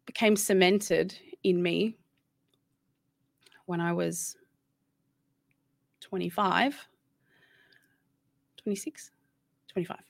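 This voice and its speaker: A woman's voice: medium pitch, gravelly, a bit bright, dry recording. An Australian woman in her thirties, a guest chatting casually and candidly, rambling with many restarts. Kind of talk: casual interview chat.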